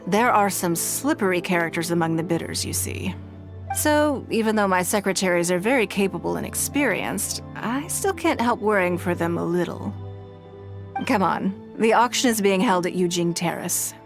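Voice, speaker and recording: A woman playing a composed, shrewd, wealthy businesswoman in a video game, speaking deliberately as if giving careful advice. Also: on the low side, lively intonation, faint music behind. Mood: composed, mildly concerned. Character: poised, shrewd, wealthy businesswoman, calm and measured.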